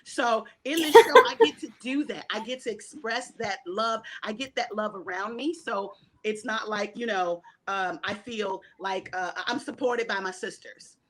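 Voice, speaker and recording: A woman's voice: mid-range voice, measured pace. A woman cast member talking about her role in a casual video call interview, emphatic and laughing often.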